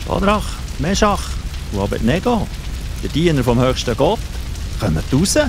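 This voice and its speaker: A man's voice: medium pitch, big pitch swings, measured pace, music in the background. An actor playing an imperious, hot-tempered king in a children's audio play, with a Swiss accent and a theatrical, commanding manner. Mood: calling out.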